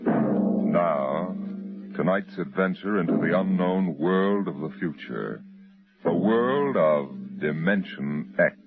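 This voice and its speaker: A man's voice: deep voice, expressive. A man giving a portentous, dramatic introduction to a vintage radio drama. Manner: slow, portentous. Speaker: drama series announcer. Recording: muffled old radio recording with loud music.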